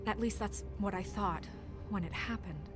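A woman's voice: mid-range voice, moderate pace, close to an everyday voice. An actress playing a stern, principled young woman deputy mayor in a video game, speaking in a firm, earnest way. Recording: music behind the voice. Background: music.

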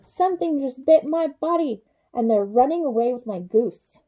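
A woman's voice: high voice, animated, moderate pace, highs cut off. Woman putting on a grumpy, blustering storybook giant. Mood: indignant, threatening.

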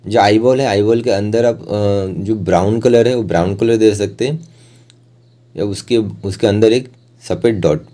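A man's voice: lowish voice, moderate pace, mellow. A man giving an informal, plain step-by-step art tutorial as a voice-over instructor.

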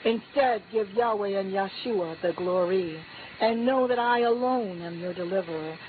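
A woman's voice: mid-range voice, normal speed. Woman, prophetic first-person declarations, solemn repeating cadence, thin telephone sound. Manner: solemn, repetitive declaring cadence, lifting on key phrases. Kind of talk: prophetic utterance spoken as God. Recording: tinny phone-like line with faint hiss.

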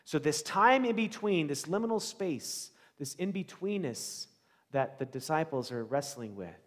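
A middle-aged American man preaching a conversational sermon, with animated storytelling, rhetorical questions and dramatic pauses. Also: on the high side. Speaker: pastor. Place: large church sanctuary, indoors, before a congregation. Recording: reverberant church hall.

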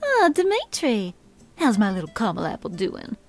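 Give me a flirtatious, teasing belle with a syrupy drawl. Her pitch swoops up and down playfully, sweet and coy, straight from a dating game. Faint music plays behind her. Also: wildly swooping pitch, normal speed, a little mellow. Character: flirtatious Southern belle woman, sugary and teasing. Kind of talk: video game character dialogue.

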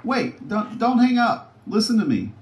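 A man's voice: high voice, wildly swooping pitch, a little slow, full character voice. A man playing a foul-mouthed, paranoid, scheming scientist in a theatrical sci-fi audio drama, talking with gloating menace. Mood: urgent, scheming. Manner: muttering to himself, theatrical, swinging between glee and panic. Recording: roomy echo with background noise.

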